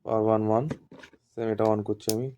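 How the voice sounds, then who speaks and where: A man's voice: lowish voice, level tone, fast talker, mellow, smooth. A man giving an informal hands-on tutorial as an instructor, explaining step by step and repeating himself. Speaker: instructor demonstrating walkie-talkies.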